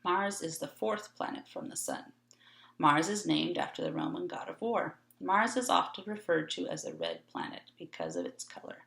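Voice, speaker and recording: A woman reading an educational read-along script aloud in a clearly phrased, instructional manner. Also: on the low side, fairly bright tone, echoey room.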